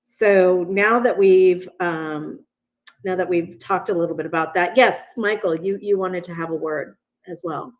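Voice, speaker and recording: A woman's voice: fairly low pitch, a little slow, dull audio. A woman hosting a panel discussion, earnest and thoughtful, with many fillers and restarts.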